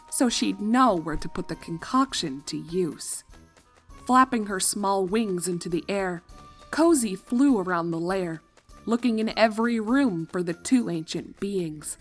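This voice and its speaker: A woman's voice: medium pitch, a bit bright. Woman narrating a story over faint music. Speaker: fan fiction narrator. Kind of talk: expressive scripted story narration.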